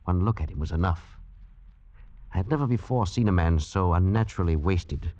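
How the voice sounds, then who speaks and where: An actor in an old radio drama playing a sensible, genteel English gentleman, a concerned friend who tells the tale. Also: low voice, lightly acted. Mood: grave, ominous. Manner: measured, genteel storytelling turning to puzzled concern. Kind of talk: 1940s radio drama acting. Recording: muffled old radio broadcast.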